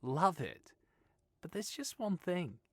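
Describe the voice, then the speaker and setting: A woman's voice: on the low side, sing-song, slow, slightly rough, no echo, pitched above the performer's own voice, full character voice. A male narrator voicing a friendly, playful young woman with an Australian accent, in an audiobook. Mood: cheerful. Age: young adult.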